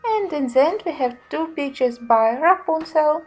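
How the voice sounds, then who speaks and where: A woman giving a casual review narration in simple, slightly halting non-native phrasing. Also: sits high, lively intonation, darkish tone, soft background music. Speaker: colouring book reviewer.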